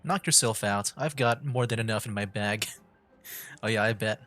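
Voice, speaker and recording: Laid-back male streamer chatting over quiet game music. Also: on the low side, moderate pace. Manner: giggly, rambling asides. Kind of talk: casual live-stream commentary.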